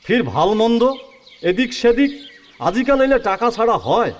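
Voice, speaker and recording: A man's voice: very high voice, lively intonation, moderate pace. A man speaking with a Kamtapuri accent, playing a greedy, scheming middle-aged village man haggling over a dowry in a rural radio play. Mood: bargaining. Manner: scheming, wheedling, bargaining. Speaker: Sanatan, a scheming matchmaker's ally.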